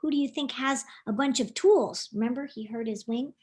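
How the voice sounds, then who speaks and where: A woman's voice: high voice, normal speed, darkish tone. A woman author reading her own children's book aloud, expressively, often putting questions to young listeners. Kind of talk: animated children's storytime narration.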